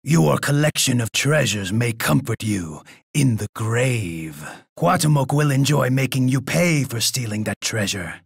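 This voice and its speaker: An actor playing a menacing, boastful, bloodthirsty Aztec emperor in a video game, with a gravelly, husky voice and an imperious, taunting manner. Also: male voice, mid-range voice, no echo, clean studio sound, heavily acted. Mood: scornful gloating. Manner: imperious, taunting, refers to himself in third person. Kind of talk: theatrical video game character voice lines.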